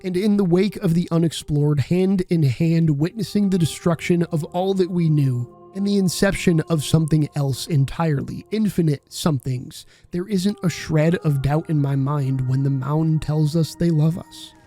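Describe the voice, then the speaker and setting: A man's voice: fairly high pitch, normal speed, hardly any pauses, mellow, soft background music. A man in his twenties giving an earnest, reflective scripted voice-over narration for a video essay. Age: 20s. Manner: earnest and contemplative.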